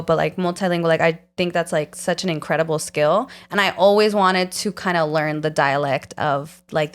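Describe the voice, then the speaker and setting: A woman hosting a casual podcast, chatty and enthusiastic, rambling a little as she talks. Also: lowish voice. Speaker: podcast host.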